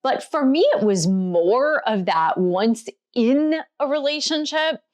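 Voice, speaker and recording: Middle-aged lady, bit hoarse today, talking candid and reflective to the camera on her vlog. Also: a little high, expressive, slow pace, darkish tone. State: slightly hoarse. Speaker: author and vlog host. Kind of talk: personal vlog talk to camera.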